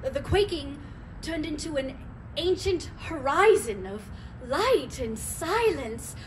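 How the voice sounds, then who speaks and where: An anxious, earnest woman, played by a woman on stage, tells an intimate story in a high voice. It is a live staged reading with clear background noise. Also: animated, slow pace. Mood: awed. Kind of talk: dramatic monologue in a staged reading.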